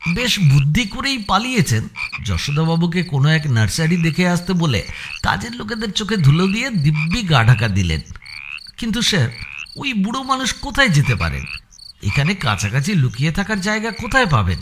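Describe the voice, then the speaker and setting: A man's voice: on the high side, very animated, brisk, background noise. An actor playing a detective's keen assistant in an audio story, inquisitive and deferential as he questions his superior. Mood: questioning. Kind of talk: Bengali audio story acting.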